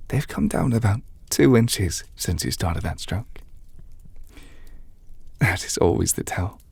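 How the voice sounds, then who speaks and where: A man playing a gentle, patient magic teacher in an ASMR audio roleplay, speaking softly and formally, close to the microphone, as he reassures an apprentice. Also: on the low side, no echo, exaggerated voice. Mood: patient encouragement. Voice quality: soft, breathy, half-whispered. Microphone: close mic, dry.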